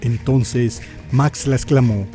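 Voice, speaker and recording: A man's voice: medium pitch, fairly even intonation, slow, mellow, faint music behind. A man with a Mexican accent reading scripted historical narration in a solemn, theatrical, literary manner.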